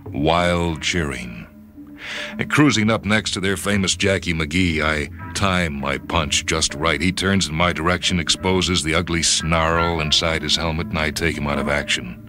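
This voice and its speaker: A man reading a short story for radio as a brooding first-person narrator, with dramatic pauses. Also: rumbling low. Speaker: story reader. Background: music and sound cues.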